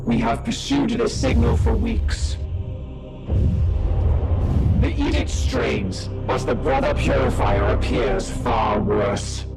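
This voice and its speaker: A man's voice: mid-range voice, takes it slowly, gravelly. A man playing a dutiful, grave starship officer, formal and portentous as he advises his commander in a game. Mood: grave, urgent. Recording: loud music under the voice.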